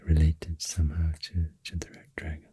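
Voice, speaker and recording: A man with a Swedish accent doing soft-spoken ASMR, half-whispering in a hesitant, unhurried ramble close to the microphone. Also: extremely low pitch, monotone, husky, no echo. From Sweden. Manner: hesitant, unhurried, halting rambling in short phrases. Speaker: ASMR creator and host. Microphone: close, dry microphone.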